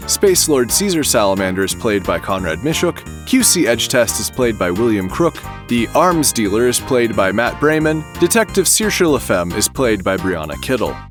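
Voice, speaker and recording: A man reading scripted end credits as the announcer of an audio production. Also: mid-range voice.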